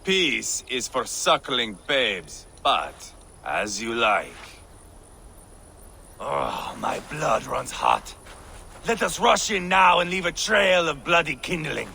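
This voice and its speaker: An actor playing a bloodthirsty, brash Viking warrior in a video game, gravelly and theatrical, relishing his menace. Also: medium pitch, big pitch swings, takes it slowly, pushed and bright, slight background noise, heavily acted. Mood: savage relish. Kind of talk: dramatic video game acting.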